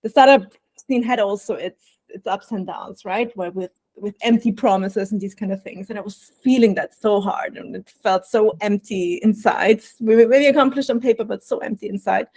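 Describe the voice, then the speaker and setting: A woman's voice: mid-range voice, normal speed, no echo. Austrian woman, dry close sound. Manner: warm, reflective, laughs often. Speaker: guest, burnout prevention coach. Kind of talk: podcast interview.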